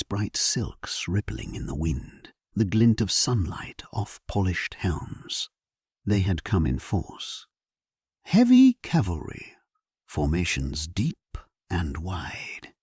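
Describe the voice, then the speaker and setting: A man playing a solemn, resolute English warrior king, narrating his battle in a measured, stately way for an audio drama. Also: mid-range voice, a little mellow, husky, no echo, studio quality, exaggerated voice. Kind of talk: dramatic scripted first-person narration, sleep story.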